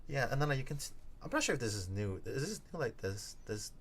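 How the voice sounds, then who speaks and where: A man's voice: mid-range voice, very animated, fast, a little hiss or hum. A man reacting to game footage in casual chat, hesitant, with many fillers and restarts.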